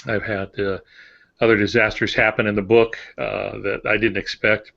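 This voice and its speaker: A man, a novelist, talking casually on a podcast in a measured, explanatory way. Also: lowish voice, level tone, vocal fry, pushed and bright. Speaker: thriller novelist, podcast co-host. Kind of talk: casual podcast discussion of writing. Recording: dull, lo-fi podcast audio.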